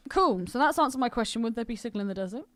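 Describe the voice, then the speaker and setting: A woman's voice: fairly high pitch, animated, very fast, a bit bright. A woman co-hosting a podcast in a casual, conversational style. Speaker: podcast co-host. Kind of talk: casual chat.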